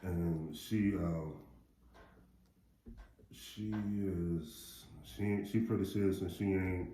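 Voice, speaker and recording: A middle-aged American man with a deep voice, chatting casually in a rambling, plain-spoken way as a parent giving advice. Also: steady tone, slow pace, dark tone. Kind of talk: casual chat. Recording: roomy echo, faint background noise.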